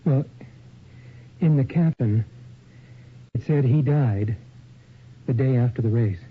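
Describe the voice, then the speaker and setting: An anxious, earnest studio assistant in a 1980s radio drama. He talks informally and questioningly in a rough, mid-pitched voice. The recording is narrow and phone-like. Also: slow pace. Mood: uneasy, insistent.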